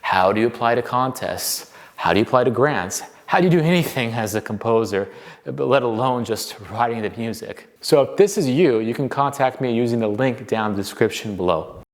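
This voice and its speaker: A man of middle pitch reads a scripted promo for his own lessons. His delivery is direct and steady, like a practiced sales pitch. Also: fairly quick. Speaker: channel host.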